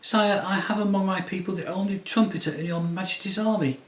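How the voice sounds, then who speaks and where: A tiny, gallant mouse knight speaks with shrill, high-pitched pride, every word formal and chivalrous, as a man puts him on for a storybook reading. The voice carries a roomy echo. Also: a little fast, close to an everyday voice. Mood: earnest. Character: noble, shrill-voiced, courtly male talking mouse.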